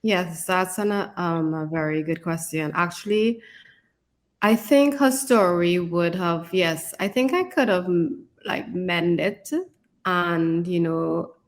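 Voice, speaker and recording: Woman author chatting on a podcast, voice a bit low, thinking things through, says you know a lot. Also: takes it slowly. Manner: reflective, often adds "you know". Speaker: fantasy author and podcast co-host. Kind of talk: conversational author discussion.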